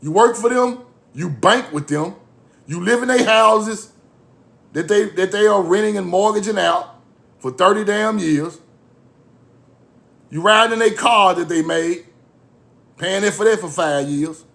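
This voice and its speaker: A man's voice: sits high, unhurried, creaky, pauses often, a bit bright. A man giving a fiery informal lecture, blunt, repetitive and profane.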